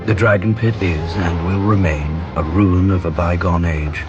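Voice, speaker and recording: A man narrating fantasy history in a grave, measured storytelling manner. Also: deep voice, steady tone, highs cut off. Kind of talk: scripted lore narration. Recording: music behind the voice.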